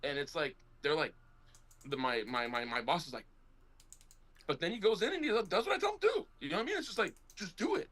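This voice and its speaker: A man co-hosting a casual sports talk show, telling rambling, animated stories about coaching kids, loud when he gets excited. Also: fairly high pitch, fast. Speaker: podcast co-host and youth basketball coach.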